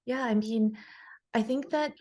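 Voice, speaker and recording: A woman from New York City, born in South Korea, answering interview questions in a measured, explanatory way with frequent pauses. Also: a little high, warm tone. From born in South Korea, grew up in New York City. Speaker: guest, facial plastic surgeon.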